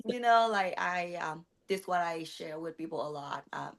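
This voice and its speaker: A woman in her thirties with a Vietnamese accent, a coach and competitor speaking earnestly in a casual interview, often pausing with fillers and restarting sentences. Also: low-pitched. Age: 30s. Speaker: guest, bodybuilding competitor and coach.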